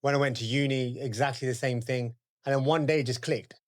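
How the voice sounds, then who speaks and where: A British man with a medium-pitched voice hosts a casual interview. He speaks informally and with slang, agreeing along and putting questions in a loose, chatty way. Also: steady tone, a little fast. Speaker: podcast host.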